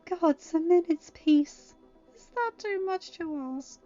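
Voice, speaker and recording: A woman's voice: very high-pitched, slow pace, dark tone, lo-fi sound, soft background music, pitched above the performer's own voice. A woman voicing a troubled, self-doubting teenage girl in a plaintive, insistent way for a story. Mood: exasperated.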